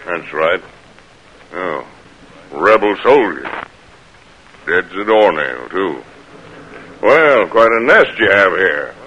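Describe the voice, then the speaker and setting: An actor playing a hard, sardonic Union army officer in an old radio drama. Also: male voice, low-pitched, very animated, takes it slowly, pauses often, sharp tone, muffled recording, exaggerated voice. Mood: menacing. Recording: noisy vintage radio recording.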